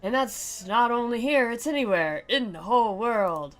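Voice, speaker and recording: A woman's voice: on the high side, moderate pace, voice pushed up, lightly acted. A woman voicing a hot-headed, conceited and gloomy teenage boy from a visual novel, sarcastic and melodramatic. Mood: indignant.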